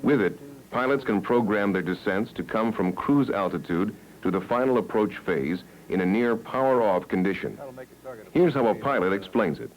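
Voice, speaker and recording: A man with an American accent reading scripted training film narration in a measured, instructional way, on an old 1970s film soundtrack. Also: fairly low pitch, expressive, low-quality recording.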